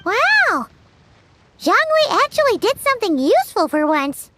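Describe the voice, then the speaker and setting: A woman voicing a tiny, cheeky, childlike fairy companion with a squeaky voice in a video game. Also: wildly swooping pitch, unhurried, background noise, heavily acted. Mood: cheeky surprise. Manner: speaks of self in third person. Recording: music behind the voice. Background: game music.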